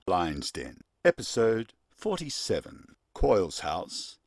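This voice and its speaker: A man's voice: deep voice, wildly swooping pitch, takes it slowly, darkish tone. A man narrating a scripted radio play, setting each scene.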